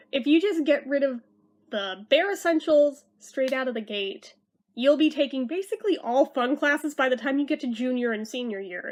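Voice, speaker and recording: A young American woman from California with a slight lisp, giving chatty, informal advice on picking high school classes in a vlog. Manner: chatty, informal, self-deprecating. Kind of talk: casual advice talk to camera.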